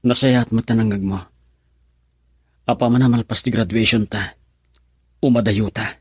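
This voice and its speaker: Earnest young boyfriend with husky voice. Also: male voice, on the low side, steady tone, measured pace, no echo, clean studio sound. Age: young adult. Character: affectionate, earnest college-age boyfriend. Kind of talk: Ilocano radio drama acting.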